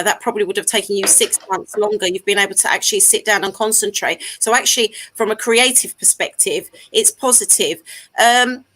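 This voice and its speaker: A woman hosting a talk show in a bright, clear voice. She keeps things moving with encouraging questions and sums up what her guests say. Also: mid-range voice, poor audio. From Malta. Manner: warm, encouraging interviewer, translates for guests. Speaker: host.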